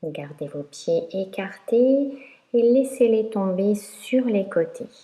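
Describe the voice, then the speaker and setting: A woman teaching yoga nidra, guiding a meditation in a calm, instructional way with long pauses. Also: mid-range voice. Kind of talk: guided meditation narration. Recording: roomy echo.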